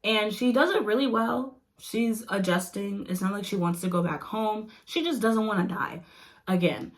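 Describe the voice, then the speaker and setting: A woman's voice: medium pitch, moderate pace. Giggly rambling young woman. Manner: chatty, giggly, rambling with many fillers. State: losing her voice. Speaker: vlogger reviewing comics. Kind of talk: casual rambling review.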